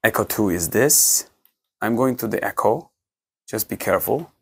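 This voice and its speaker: Man, gravelly. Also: lowish voice, animated. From Turkey. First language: Turkish. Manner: halting, many restarts and fillers. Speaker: tech reviewer. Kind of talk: off-the-cuff menu walkthrough.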